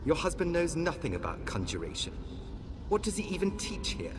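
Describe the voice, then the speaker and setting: A man's voice: fairly high pitch, huge pitch swings, a little fast, background noise. An actor playing an arrogant young male elf mage student, haughty and sarcastic, in a fantasy game. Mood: scornful. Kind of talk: scripted fantasy game dialogue. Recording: loud background music under the voice.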